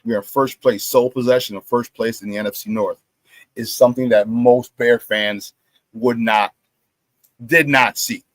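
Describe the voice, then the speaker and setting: A hoarse middle-aged man hosting a sports talk show, telling animated stories, repeating his phrases and laughing at his own stories. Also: medium pitch, normal speed, no room sound. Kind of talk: casual sports talk monologue.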